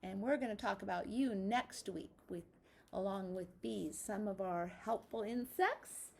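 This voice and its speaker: A woman's voice: mid-range voice, measured pace, vocal fry, darkish tone. A woman teacher reading aloud and giving a lesson to young children, addressing them directly in a sing-song manner.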